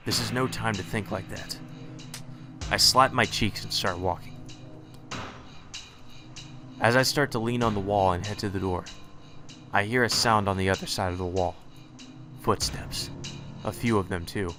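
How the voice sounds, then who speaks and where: A man's voice: fairly low pitch, steady tone, moderate pace, pauses often, over music. A man reading visual novel text aloud with casual commentary, sometimes stumbling over words and correcting himself.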